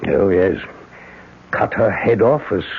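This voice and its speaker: Grave witness, very low voice, emphatic, radio mystery, muffled phone-like broadcast. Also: male voice, expressive, slow, vocal fry, full character voice. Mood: grimly matter-of-fact. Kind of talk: 1970s radio drama acting. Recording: muffled, faintly noisy old radio.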